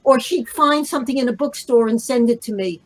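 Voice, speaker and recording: An older woman, a playwright and guest, reminiscing off the cuff in a halting way that circles back over phrases. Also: fairly high pitch, low-quality recording. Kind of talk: spontaneous reminiscence.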